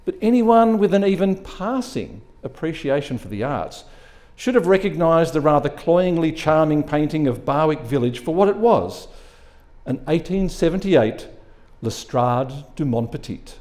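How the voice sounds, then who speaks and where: A male story reader with a soft, mellow tone and a slightly high pitch. He narrates expressively, as at a live reading of short fiction. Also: lively intonation, a little slow.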